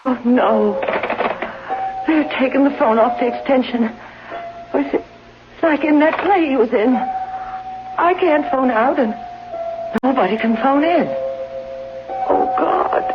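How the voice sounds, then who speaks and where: An actress playing a frightened, sickly bedridden wife in a radio drama, halting and breathless with agitation. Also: female voice, high voice. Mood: desperate, pleading. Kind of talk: old-time radio drama acting. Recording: dull old radio recording, loud music.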